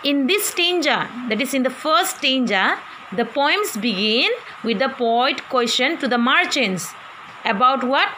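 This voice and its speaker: A woman's voice: sits high, expressive, measured pace, sharp tone, slight background noise, poor audio. A woman teacher with an Indian English accent, giving an online class and explaining a poem to students, asking them questions as she goes.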